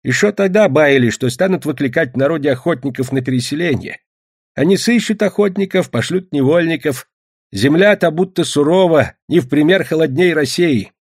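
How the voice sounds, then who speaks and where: A man's voice: on the high side, lively intonation, normal speed, no echo, studio quality, pitched above the performer's own voice. A man voicing a curious, easily amazed old peasant grandfather in an audiobook, using rustic old-time folk speech. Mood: reminiscing. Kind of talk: acted audiobook dialogue.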